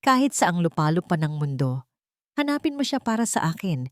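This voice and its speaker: A woman voicing a commanding, lovelorn male CEO in an audio romance, giving forceful, urgent orders. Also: on the low side, sing-song, normal speed, no room sound, studio quality. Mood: urgent, determined.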